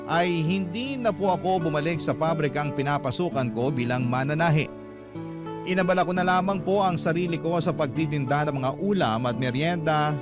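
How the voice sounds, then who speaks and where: A man narrating a scripted first-person letter as a radio storyteller, in an emotive storytelling style. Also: a little high. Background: guitar music.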